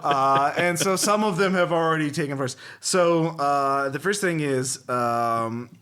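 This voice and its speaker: A man hosting a casual podcast, telling comic anecdotes to friends in an animated, halting way. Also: on the high side, smooth. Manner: animated, profane, halting as he searches for the next point. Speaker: podcast host.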